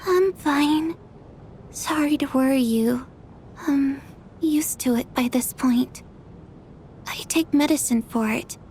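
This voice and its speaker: A woman voicing an eager young woman in a game, chatty and excitable. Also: sits high, takes it slowly, pauses often, faint music behind. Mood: excited. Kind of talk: game voice acting.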